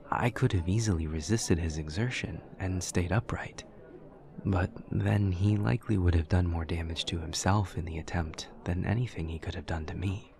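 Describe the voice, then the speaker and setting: Male actor as demon classmate, very low pitch, heavy vocal fry, soft, formal, stiffly precise, earnest, awkward, audio roleplay. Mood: calm, matter-of-fact. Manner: stiff, precise, literal, measured formal diction. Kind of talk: audio roleplay, scripted monologue to a listener.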